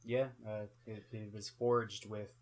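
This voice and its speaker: A man's voice: on the low side, flat delivery, slow. A man co-hosting a casual film review, hesitant and full of restarts as he weighs his opinions. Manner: hesitant, many restarts and fillers. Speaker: co-host of a movie review show.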